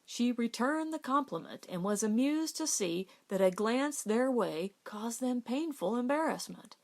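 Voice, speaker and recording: A woman's voice: on the high side, animated, a little slow, darkish tone. A woman reading a novel aloud as an audiobook narrator. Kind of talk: scripted literary narration.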